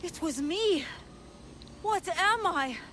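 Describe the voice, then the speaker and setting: Anguished young woman in a game, high voice, very up and down, earnest, bit of noise behind. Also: animated, slow, fairly bright tone, heavily acted. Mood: shaken, despairing. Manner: earnest, emotional. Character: anguished young woman hiding a beastly side. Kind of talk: video game cutscene acting.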